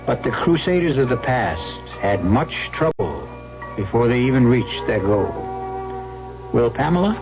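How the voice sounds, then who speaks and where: Casting: male mystery-show narrator, medium pitch, measured and portentous, muffled vintage broadcast over music. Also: very animated. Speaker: host and narrator. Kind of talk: theatrical scripted radio narration. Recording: muffled vintage radio recording. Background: prominent music.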